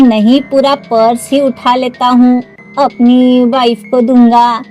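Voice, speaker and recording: A sneaky household cook in a toy cartoon story, acted out by a woman. He is greedy and gloating, muttering in a high, slow voice. Soft music plays underneath. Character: sly, greedy thieving male house cook, toy character.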